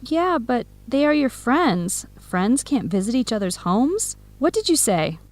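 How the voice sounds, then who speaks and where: An outspoken, supportive young woman in an educational radio drama. She speaks clearly and in measured phrases, with a moderately high pitch. The sound is very dry, with no room around it. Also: big pitch swings, a little mellow, dry recording. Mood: indignant, supportive. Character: supportive, outspoken young American woman friend and eco volunteer.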